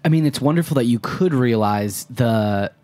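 A man hosting a podcast, asking long, winding interview questions with hesitant pauses and fillers. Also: medium pitch, animated, a little mellow. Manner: hesitant, searching for words, many fillers. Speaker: podcast host.

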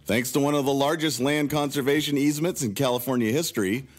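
A man reading a sponsor advertisement in an even, polished way. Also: medium pitch, quick pace. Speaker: sponsor announcer. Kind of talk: read advertisement.

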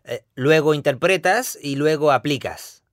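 A man co-hosting a conversational podcast, chatting in a self-deprecating, animated way. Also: on the high side, a little slow, dry recording, clean studio sound. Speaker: podcast co-host.

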